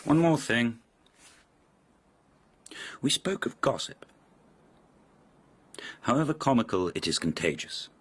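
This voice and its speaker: An actor playing an arrogant, sneering court nobleman in a radio drama, condescending and husky. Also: medium pitch, sing-song, takes it slowly, pauses often, fairly bright tone, exaggerated voice. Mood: mocking, gossipy. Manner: haughty, condescending, drawling innuendo.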